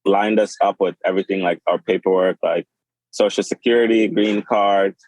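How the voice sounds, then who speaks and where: A man in his twenties, born in Sudan and raised in Kenya, speaking as a podcast guest in a halting, casual way with many fillers and restarts. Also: low voice, fairly even intonation, a little mellow, dry recording, low-quality recording. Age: 20s. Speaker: podcast guest, clothing designer. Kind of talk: casual interview.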